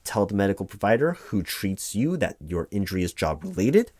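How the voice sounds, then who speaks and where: Man acting as a low-voiced, reassuring office paperwork helper. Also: big pitch swings, fairly quick, darkish tone, dry recording, clean studio sound. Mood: official, instructive. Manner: rambling, hesitant asides with stammered repeats, reassuring. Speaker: roleplay performer playing a workers' compensation claims helper. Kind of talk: loud-spoken ASMR roleplay, reading forms aloud with ad-libbed asides.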